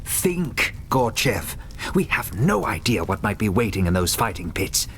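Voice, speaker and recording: A man voicing a principled, cautious mage in a video game drama, speaking in a measured, formal, earnest way. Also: on the low side, very animated, a bit bright, slight background noise, exaggerated voice. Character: principled, cautious male spellcasting adventurer.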